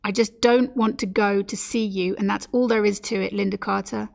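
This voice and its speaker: A woman's voice: mid-range voice, fairly even intonation, normal speed, creaky, a little mellow. A woman reading a story aloud at a literary event, narrating and speaking the dialogue. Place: café at a live-streamed reading event.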